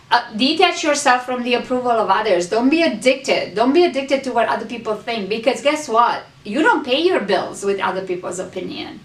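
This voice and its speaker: A woman's voice: fairly high pitch, recorded from a distance. A woman hosting a motivational talk, speaking earnestly to her viewers and repeating key phrases for emphasis as she coaches them. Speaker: host. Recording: echoey room, voice off-mic.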